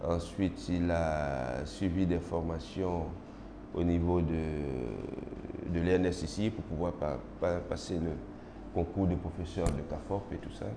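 A middle-aged man from Côte d'Ivoire with an Ivorian French accent, whose first language is Baoulé, answering formal interview questions in a measured way with many hesitations. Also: low-pitched. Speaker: interviewee, magistrate. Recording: noisy roomy recording with long reverb.

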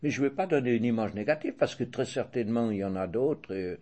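An older man with a Swiss French accent answering interview questions in a measured, hesitant way, often restarting and correcting himself. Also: medium pitch, animated, warm tone.

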